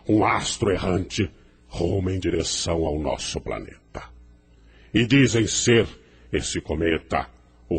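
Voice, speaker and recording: A man plays a menacing rebel demagogue for an audio drama. His voice is very low, and he declaims with grand, defiant pomp, as if rallying followers to a new order. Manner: pompous, declamatory.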